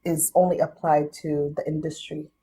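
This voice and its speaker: A woman's voice: deep voice, level tone, moderate pace, dark tone, slight background noise, low-quality recording. A young adult woman and business founder, a podcast guest, explaining things step by step in a thoughtful, casual way. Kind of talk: casual interview.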